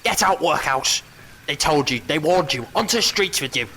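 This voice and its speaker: A woman's voice: deep voice, expressive, moderate pace, heavy background noise. A woman playing a spiteful teenage apprentice boy in an audio drama, taunting and sneering. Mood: triumphant.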